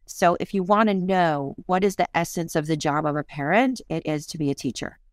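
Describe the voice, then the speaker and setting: A woman's voice: low voice, a little fast, no room sound, clean studio sound. A woman in her fifties, a parenting coach and author, talking earnestly and reflectively in a podcast interview, with self-deprecating honesty.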